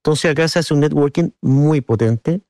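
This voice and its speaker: A man's voice: fairly high pitch, normal speed, warm tone, dry recording, clean studio sound. A man from Chile with a Chilean accent, answering in an interview in an earnest, colloquial, anecdotal way. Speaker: interview guest, businessman and board member of a business council.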